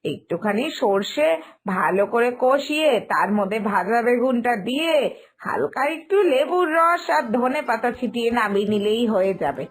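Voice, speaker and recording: Lazy, breezy aunt for animated family tale woman performing; high, very lively delivery, scoffing and talkative. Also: normal speed, close to an everyday voice. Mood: chatty. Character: slovenly, lazy, breezy aunt-in-law who scoffs at cleanliness. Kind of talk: animated Bengali family story dialogue.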